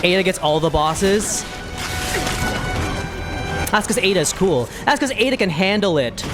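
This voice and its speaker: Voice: mid-range voice, animated, a little slow, music in the background. A game streamer giving casual live reactions to a playthrough, exclaiming theatrically and using folksy slang. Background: game soundtrack and sound effects.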